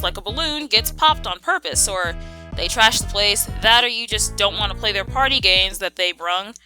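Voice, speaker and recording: A woman's voice: medium pitch, bright, forceful tone, low-quality recording. A young woman delivering a casual scripted rant in an exasperated, sassy and opinionated way. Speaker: video creator.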